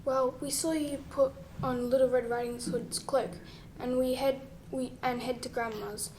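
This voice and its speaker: A woman's voice: high voice, fairly even intonation, measured pace, a little mellow, slight background noise, low-quality recording. A female performer playing a little pig urgently warning friends in an amateur school radio play.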